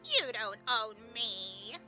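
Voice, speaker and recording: A woman's voice: on the high side, big pitch swings, slow pace, pushed and bright, soft background music, heavily acted. A woman playing a bubbly, food-loving young woman in an audio drama, exuberant and emphatic. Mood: excited, sassy. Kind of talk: fan audio drama acting.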